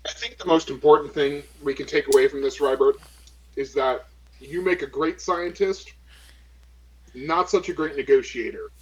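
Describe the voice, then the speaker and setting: A man's voice: fairly high pitch, normal speed, lightly acted, low-quality recording. A man playing a cynical, unimpressed woman of a spaceship crew in a tabletop game, with a dry, sardonic delivery. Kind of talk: improvised tabletop role-play.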